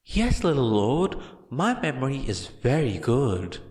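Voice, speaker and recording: A man's voice: mid-range voice, huge pitch swings, a little mellow, breathy edge, dry recording, clean studio sound, pitched above the performer's own voice, exaggerated voice. A man voicing a giant, simple-minded monster servant, eager, loyal and devoted, in an audiobook story. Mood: happy, devoted. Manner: slow, exclaiming, devoted.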